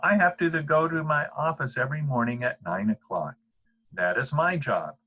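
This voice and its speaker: A man's voice: medium pitch, lively intonation, moderate pace, sharp tone, voice pushed up. A man reading the part of a firm, patient father in a bedtime storybook, explaining things matter-of-factly.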